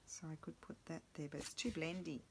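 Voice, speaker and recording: A woman vlogging while she crafts, chatting casually and thinking aloud, her voice a little croaky from the morning. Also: deep voice, fairly even intonation, brisk, very noisy. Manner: rambling, self-interrupting, thinking aloud.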